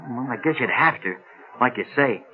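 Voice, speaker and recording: An actor playing an earnest, clean-living political candidate pained by headaches, speaking hesitantly with a sincere stammer in a radio drama. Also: male voice, mid-range voice, animated, a little fast, natural voice. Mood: hesitant, polite. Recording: muffled lo-fi radio recording.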